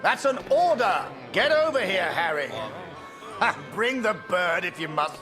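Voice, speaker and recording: An actor playing a jovial army major in a video game. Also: male voice, sits high, animated, measured pace, over music. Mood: jovial, commanding. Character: jovial British army major, hiding grief. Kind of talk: dramatic video game dialogue.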